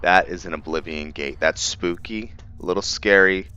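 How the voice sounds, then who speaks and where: A man's voice: low voice, moderate pace, pushed and bright, clear, resonant tone. A man giving casual, chatty gameplay commentary as a video host, thinking aloud with enthusiasm.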